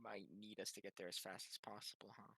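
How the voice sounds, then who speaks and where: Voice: sits low, flat delivery, fast, creaky, sharp tone. A person chatting casually as a player in a tabletop game. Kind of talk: casual chat.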